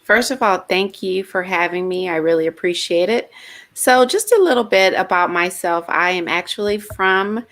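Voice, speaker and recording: Confident lower-voiced woman guest. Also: lively intonation, normal speed, fairly bright tone. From Inglewood, California. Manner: confident, polished speaker. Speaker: guest speaker. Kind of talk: interview answers.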